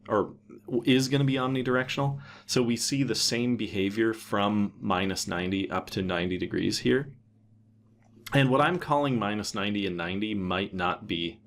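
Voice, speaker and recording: A man's voice: fairly low pitch, a little hiss or hum. A man giving an unscripted technical tutorial, thinking aloud and explaining in a hesitant way. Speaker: tutorial presenter.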